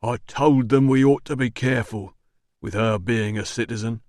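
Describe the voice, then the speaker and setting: A man voicing a surly, rough-spoken working-class male doorman in an audiobook, blunt and slow. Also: mid-range voice, fairly even intonation, darkish tone, dry recording, studio quality, lightly acted. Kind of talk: audiobook dialogue.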